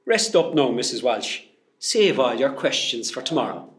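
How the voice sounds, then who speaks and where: An actor with a West Cork Irish accent playing a cheeky, teasing young hospital worker in a comedy radio play. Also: male voice, medium pitch, very animated, moderate pace, a little hiss or hum, natural voice. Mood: teasing, reassuring. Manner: teasing, jokey.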